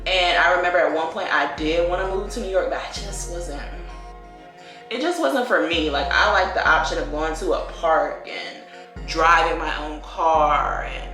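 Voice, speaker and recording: A young woman from Virginia talks through her life story in easy, rambling chat, filling it with likes and y'alls. Her voice sits a bit low but sounds very bright. The room echoes around her, with soft music playing underneath. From Virginia, USA. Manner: chatty, drawn-out phrases, lots of likes and y'all. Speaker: beauty vlogger. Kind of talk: casual get-ready-with-me chat.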